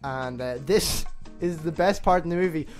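A man with a fairly high voice hosts a casual comedy show. He moves between loose chat and mock narration with a jokey, mocking manner, over faint music. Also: normal speed. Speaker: podcast host.

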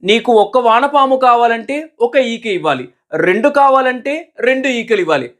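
Man acting out sly storybook fox, high-pitched, firm, bargaining, children's fable. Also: expressive, moderate pace, a bit bright.

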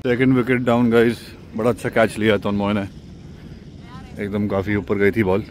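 A man's voice: lowish voice, flat delivery, normal speed, slight background noise. A man casually narrating a match-day vlog at an outdoor cricket ground, chatty and explaining the plays as they happen. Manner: chatty, mixes English into Hindi, explains plays. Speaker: vlogger and amateur cricket player.

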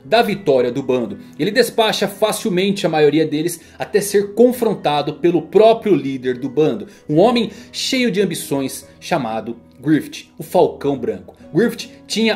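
A man's voice: on the high side, warm tone. A man with a Brazilian accent reading a scripted, dramatic story narration. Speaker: video narrator. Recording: music behind the voice.

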